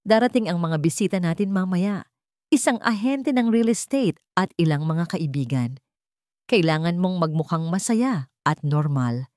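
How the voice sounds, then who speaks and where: A woman's voice: lowish voice, expressive, moderate pace, darkish tone, no room sound, studio quality, close to an everyday voice. A woman with a Filipino accent voicing a controlling, scheming villain husband in an audiobook drama, commanding and threatening. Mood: cold threat.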